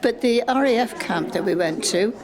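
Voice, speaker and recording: A woman's voice: on the high side, lively intonation, moderate pace. An elderly woman with a British accent, casually looking back on her youth. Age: older. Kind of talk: casual wartime reminiscing. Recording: room with clear background chatter.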